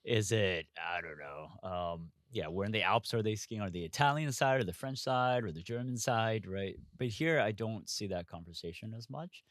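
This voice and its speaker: A man from Hong Kong speaks as an investor guest in a relaxed interview. His voice sits low, and the sound is dry and close, with no room around it. He talks candidly, circling his points with asides and restarts. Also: normal speed, few pauses, dry recording. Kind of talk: casual interview conversation.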